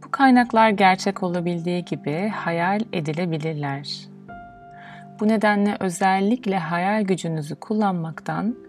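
A woman guiding a meditation in a soothing, measured, instructional way, leaving pauses between phrases. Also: fairly low pitch, a little mellow. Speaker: meditation guide. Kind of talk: guided yoga nidra meditation, instructional. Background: music.

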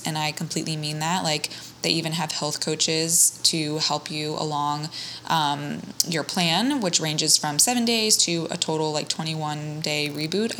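A woman's voice: deep voice, sharp tone, a little hiss or hum. A young woman hosting a podcast from a small hostel kitchenette, chatty and upbeat with casual fillers. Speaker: podcast host. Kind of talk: casual podcast intro and interview.

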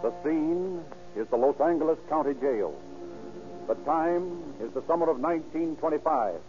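A man's voice: a little high, lively intonation, moderate pace, over music. A man giving formal, declamatory scripted narration as the narrator of an old radio drama. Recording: tinny, narrow-band old radio recording.

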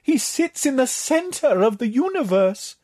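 A shrill, raspy monster librarian with a voice pitched far up and a cackle in it. He gloats slyly at the doom he foretells, in slow, drawn-out words. It is a dry, close audiobook read. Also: big pitch swings, darkish tone, dry recording, heavily acted. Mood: gloating.

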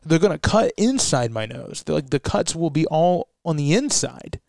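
A man's voice: fairly high pitch, sing-song, normal speed, vocal fry, a little mellow, no room sound, clean studio sound. A young adult man co-hosting a casual talk show, chatty and rambling, laughing at himself now and then. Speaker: co-host. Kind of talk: casual chat.

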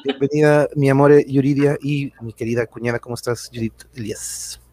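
Male livestream host, slightly husky, mid-pitched, rambling and informal, quick to chuckle. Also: fairly even intonation, mellow, no echo. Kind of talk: casual livestream chat.

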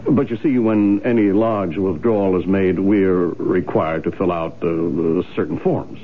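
A polite, evasive bank manager in a radio drama. His low voice is formal and placating, with hesitant ums. The old broadcast sound is tinny and clearly noisy. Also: steady tone, unhurried. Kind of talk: 1970s radio drama acting.

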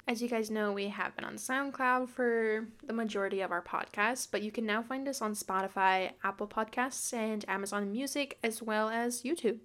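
A woman hosting a true-crime podcast, reading a prepared script about the case and slipping into casual "like" fillers when she chats. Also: on the high side. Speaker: podcast host and presenter.

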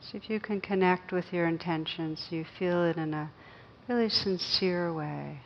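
A woman with a fairly low voice leads a guided meditation. She speaks gently and takes her time, leaving long pauses between short phrases. The recording is a bit muffled, with faint noise behind her. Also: creaky. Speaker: meditation teacher.

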